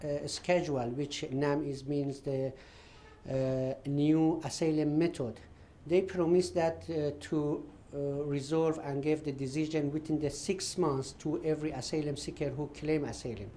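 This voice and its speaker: Halting man with a mellow voice. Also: fairly high pitch, fairly even intonation. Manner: halting, many fillers. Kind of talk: casual explanation.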